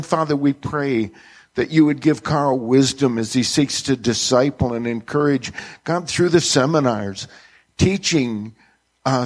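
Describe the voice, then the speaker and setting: A man's voice: mid-range voice, a little mellow, husky. A male pastor praying aloud before others in an earnest, reverent manner, addressing God again and again. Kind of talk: extemporaneous public prayer.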